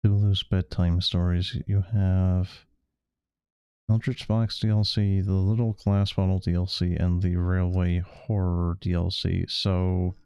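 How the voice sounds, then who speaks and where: A man with a fairly low voice comments casually on a game stream. He hesitates often, stammering and repeating himself as he works out his thoughts. The sound is close and dry, with little room in it. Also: flat delivery, a little slow, mellow, clean tone, no echo. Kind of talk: casual stream commentary, sometimes reading text aloud.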